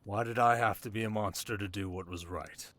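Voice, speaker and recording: An actor playing a sharp, haunted young king in an intimate ASMR romance roleplay, talking wryly and dramatically to his listener. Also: fairly low pitch, fairly quick. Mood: haunted, grave. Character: young desert king, sharp, wry, haunted, teasingly tender.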